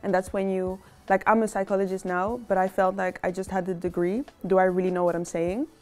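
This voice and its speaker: A young woman speaks with earnest thought in a relaxed interview. Her pitch stays level and even as she talks. Gentle music runs underneath her. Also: a little fast. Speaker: interviewee, social psychologist. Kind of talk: casual reflective interview talk.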